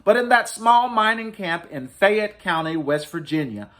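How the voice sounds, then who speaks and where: A man reads a children's picture book aloud as a story-time host. His voice sits a little high for a man and swings widely in pitch. He stresses key words with dramatic emphasis. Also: animated, unhurried, fairly bright tone. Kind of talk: expressive picture book read-aloud for families.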